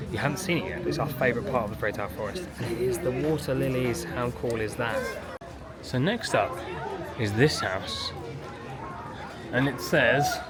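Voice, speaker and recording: A parent narrating a family vlog in a casual walk-through style, out and about in a theme park. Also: medium pitch. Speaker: vlogger, parent on a family outing. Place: outdoors in a theme park, walking, sometimes inside small buildings. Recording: loud music behind, outdoor park ambience. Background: loud music.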